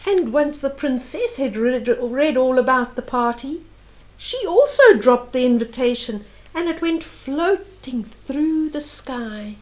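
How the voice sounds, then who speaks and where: An older woman with a high voice tells a picture story to young children. She takes it slowly, in simple phrases with pauses, and speaks straight to her little listeners in an expressive way. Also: poor audio. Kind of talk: reading and retelling a picture book to young children.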